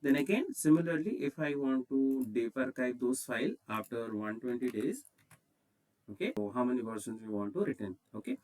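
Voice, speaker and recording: A man with an Indian accent presenting a step-by-step software tutorial in an instructional way, often checking in with "okay". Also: mid-range voice, expressive, moderate pace, recorded from a distance, poor audio.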